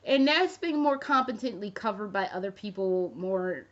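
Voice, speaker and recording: Woman in her thirties vlogging, loose and profane, mid-pitched voice, somewhat muffled lo-fi sound. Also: lively intonation, moderate pace. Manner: rambling, profane, with jokey asides. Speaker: fashion vlogger. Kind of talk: casual chat to camera. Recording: dull lo-fi recording.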